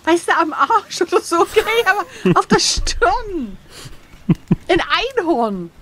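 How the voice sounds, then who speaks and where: A woman's voice: extremely high pitch, big pitch swings, slow, fairly bright tone. A woman playing along with an adventure gamebook in casual, slangy chat, joking and sarcastic. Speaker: co-host and player.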